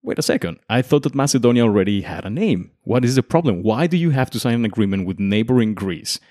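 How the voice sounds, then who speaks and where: A young man from Mexico hosting a conversational explainer, making his case with lively rhetorical questions. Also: medium pitch, quick pace, no echo, studio quality. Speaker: podcast host.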